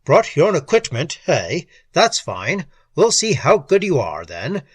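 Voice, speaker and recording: A man voicing a gruff, bullying crime boss in a story reading, with a husky voice and a barking, bossy delivery. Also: a little high, huge pitch swings, a little slow, dry recording, full character voice. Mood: bossy, brusque. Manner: barking, domineering.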